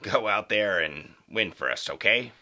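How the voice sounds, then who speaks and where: A man playing a measured, evasive school principal in an audio drama, speaking in a formal, guarded way. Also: fairly low pitch, animated, sharp tone, a little hiss or hum, voice pushed down, exaggerated voice.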